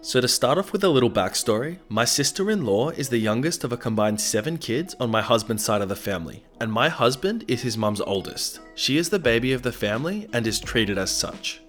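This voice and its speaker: A man who is sick and slightly raspy, narrating reddit stories with casual, sarcastic asides. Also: mid-range voice, sing-song, fairly quick, hardly any pauses, fairly bright tone. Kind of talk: reading reddit stories aloud. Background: faint background music.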